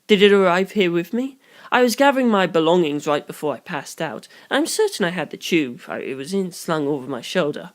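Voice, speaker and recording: A reader voicing a calm, analytical young detective in an audiobook, speaking in measured, formal, deliberate phrases. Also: mid-range voice, lightly acted. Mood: calm, puzzled. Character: Tsukumajuku, calm analytical young detective explaining grim theories.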